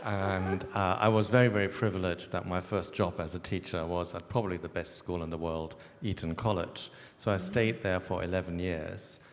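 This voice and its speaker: A man's voice: deep voice, fairly even intonation, normal speed, few pauses. A middle-aged man with a German accent, speaking as a head of school on a panel, wry with humorous asides. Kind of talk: panel discussion on stage. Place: event hall before an audience. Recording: reverberant event hall.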